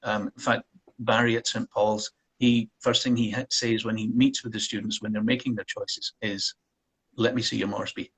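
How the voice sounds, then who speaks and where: A man, a college counselor, answering interview questions in a relaxed way with frequent pauses and ums. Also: lowish voice, husky, rough recording.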